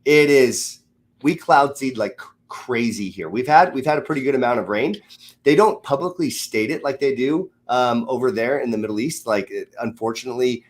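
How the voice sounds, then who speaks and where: Socal guy, kinda nasally, rambling on excitedly in a casual chat. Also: mid-range voice, moderate pace. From Southern California. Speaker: guest.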